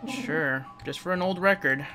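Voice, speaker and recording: A man casually commenting on his own gameplay, rambling, chatting to the enemies and breaking into sudden excited outbursts. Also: a little high, measured pace. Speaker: let's play gamer. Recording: loud game music behind.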